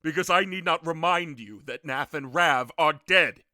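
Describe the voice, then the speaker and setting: An actor playing a bitter, curt, challenging baron in a fantasy audiobook. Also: male voice, on the high side, normal speed, bright, forceful tone, no room sound, clean studio sound. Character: bitter, curt baron abandoning his estate. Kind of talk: audiobook character acting.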